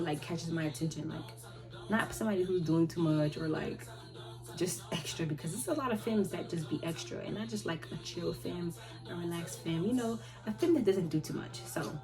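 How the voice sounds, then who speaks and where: A woman in her twenties answering viewer questions in a rambling, halting way, often restarting and trailing off. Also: lowish voice, low-quality recording. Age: 20s. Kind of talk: casual chat. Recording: loud music playing behind her.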